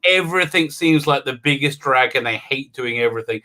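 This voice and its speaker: A man on a casual podcast panel, talking haltingly and repeating words for emphasis. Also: mid-range voice, pushed and bright.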